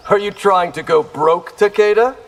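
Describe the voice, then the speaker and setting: Wry male ninja, higher-set voice, expressive intonation, casual, teasing, long echo, game character. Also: slow pace, soft background music. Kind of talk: video game character dialogue. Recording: long reverb.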